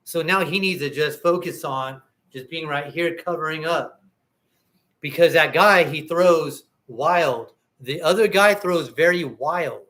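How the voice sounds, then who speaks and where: A man's voice: fairly high pitch, measured pace, a bit bright. A man hosting a livestream, chatting casually about boxing and talking straight to his viewers. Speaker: livestream host.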